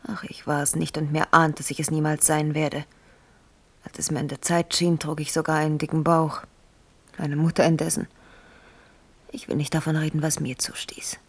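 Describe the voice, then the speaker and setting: An actress playing a proud young princess and queen in a radio play, with a breathy, whispery voice and an intense, formal manner. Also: deep voice, level tone, normal speed. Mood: hurt, accusing. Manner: intimate, formal, emotionally intense. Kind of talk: 1970s German radio play acting.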